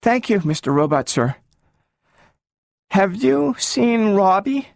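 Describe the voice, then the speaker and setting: A man's voice: very animated, takes it slowly, gravelly, no room sound, studio quality, full character voice. A man doing a timid, polite little girl. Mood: earnest, polite. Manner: high treble, eager, very polite.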